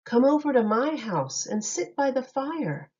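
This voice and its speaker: A woman reading a rhyming picture book aloud in an expressive, sing-song way meant for young children. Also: high-pitched, a little slow, dull audio.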